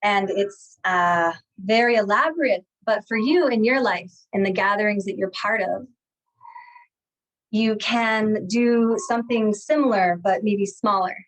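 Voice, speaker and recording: Woman guiding a slow spiritual talk. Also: mid-range voice, poor audio. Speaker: guest speaker and guide. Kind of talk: guided meditation and spiritual talk.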